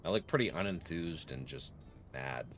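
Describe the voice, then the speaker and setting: A man with a very deep but bright, forward voice chats casually on a game stream, laughing easily and keeping things relaxed. His pitch swings widely and expressively, and faint music plays behind him. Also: moderate pace. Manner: animated, laughs often, easygoing. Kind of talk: casual live-stream chat.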